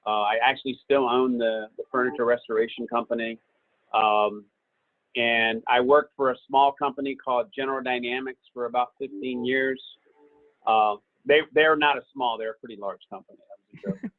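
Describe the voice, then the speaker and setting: A man's voice: medium pitch, moderate pace, no room sound. Man talking on a tinny video call. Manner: relaxed, rambling, many fillers. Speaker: business owner and guest speaker. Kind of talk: casual answers on a video call. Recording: tinny phone-like call audio.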